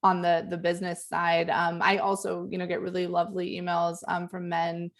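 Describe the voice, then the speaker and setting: Woman, gravelly, lowish voice, author, halting with ums. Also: completely flat delivery. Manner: hesitant, many ums and repeats. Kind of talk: virtual panel conversation.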